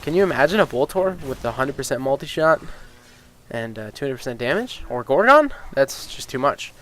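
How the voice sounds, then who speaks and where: A man's voice: medium pitch, moderate pace. A man with a stuffy, sniffly nose, chatting casually over gameplay, rambling with lots of ums and getting worked up about game balance. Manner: rambling, with many ums and uhs, and swears freely; gets excited and incredulous about game balance. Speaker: gaming YouTuber.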